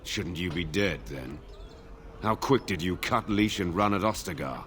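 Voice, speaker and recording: An actor playing a bitter, weary older nobleman in a fantasy video game, gruff, curt and dismissive. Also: low voice, animated, a little slow, fairly bright tone, slight background noise. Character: bitter, weary older nobleman who lost his sons. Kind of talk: video game dialogue acting.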